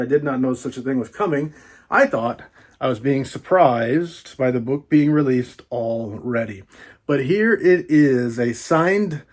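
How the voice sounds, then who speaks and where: A man's voice: a little high, a little mellow. A man doing a casual, folksy book unboxing vlog, rambling playfully with repeats and little asides.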